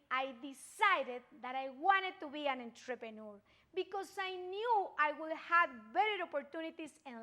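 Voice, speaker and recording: Bright high woman speaker. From Colombia. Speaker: business speaker. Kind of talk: prepared stage speech.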